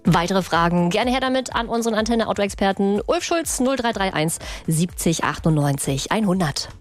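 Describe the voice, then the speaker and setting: A playful female radio host with a medium-pitched voice. She chats lightly with callers over faint music. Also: lively intonation, moderate pace. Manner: playful, chatty.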